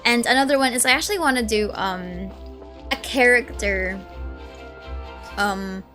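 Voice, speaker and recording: A woman in her early twenties chatting casually on a gaming stream, excitable and gushing, rambling as she goes. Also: fairly high pitch, measured pace. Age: early 20s. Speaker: streamer and video essay creator. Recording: music behind the voice. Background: game music.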